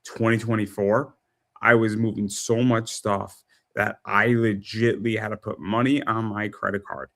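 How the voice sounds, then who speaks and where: A man in his thirties hosting a casual interview, who talks in quick bursts and repeats words as he gets worked up. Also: fairly low pitch, vocal fry. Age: 30s. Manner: rapid, stumbling repetitions when excited. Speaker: host.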